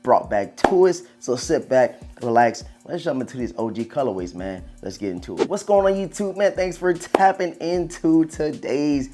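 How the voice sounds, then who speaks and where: A slightly hoarse man who has partly lost his voice, hosting a video with casual, slangy hype chat aimed straight at his viewers. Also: fairly high pitch, lively intonation, moderate pace, few pauses, mellow, soft background music. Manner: slangy, informal, addressing viewers as bro and man. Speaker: sneaker video host.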